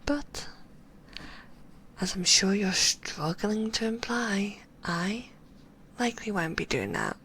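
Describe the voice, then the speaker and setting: A woman voicing a possessive, sweetly manipulative female magician, whispering in a soft, cooing and softly condescending way. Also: medium pitch, lively intonation, slow, pauses often, slight background noise. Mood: doting. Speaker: ASMR roleplay performer. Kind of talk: whispered ASMR roleplay.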